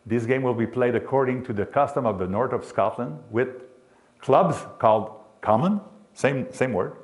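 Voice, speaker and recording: A man with a Quebec French accent gives an informal history presentation in English. He speaks haltingly, with frequent fillers and restarts as he hunts for the right word. His voice sits at an ordinary male pitch. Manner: halting, many fillers and restarts while searching for English words. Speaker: local sports announcer and hockey historian.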